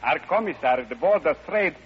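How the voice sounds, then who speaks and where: An actor playing a pompous, anxious male Soviet trade envoy with an excitable manner in a radio comedy. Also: a little high, a little fast, husky. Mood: blustery, flustered. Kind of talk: 1940s radio comedy acting. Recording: muffled vintage radio, studio audience.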